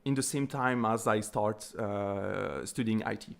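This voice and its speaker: A man's voice: on the low side, a little slow, vocal fry, clear, resonant tone. A man from Belgium with a Belgian French accent, whose first language is French, chatting as a guest in an interview over a video call, laughing easily and restarting his sentences often. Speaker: guest software developer. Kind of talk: casual interview chat.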